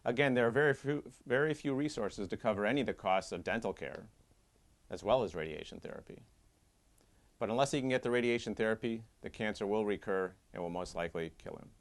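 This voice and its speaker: A middle-aged male surgeon speaks to camera from his office in a fairly low voice. His delivery is earnest and measured, a scripted plea. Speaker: head and neck surgeon. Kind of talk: scripted advocacy monologue. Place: doctor's office with diplomas.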